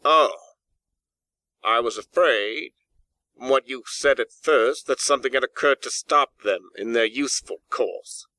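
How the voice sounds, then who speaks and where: A man's voice: medium pitch, a little slow, bright, forceful tone, no echo. A man voicing a cold, miserly old businessman in a storybook reading, curt, sneering and emphatic. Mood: mock relief. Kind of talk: audiobook character dialogue.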